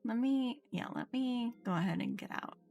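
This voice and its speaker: Woman streamer with a slightly creaky voice. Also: a little high, normal speed, a little mellow. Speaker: game streamer and VTuber. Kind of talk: casual livestream chat while gaming. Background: game music.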